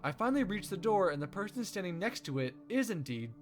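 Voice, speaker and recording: Male playthrough host, animated higher voice, casual expressive read-aloud, music clearly behind. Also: quick pace. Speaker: let's play host. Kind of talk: casual visual novel playthrough, reading aloud. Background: game music.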